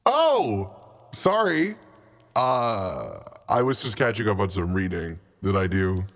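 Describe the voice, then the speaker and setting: A man's voice: fairly low pitch, sing-song, slow pace, a bit bright, full character voice. A man playing a chatty undead lich bureaucrat in improvised tabletop roleplay, faux-friendly and defensive, stammering and repeating himself. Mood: affable, smug.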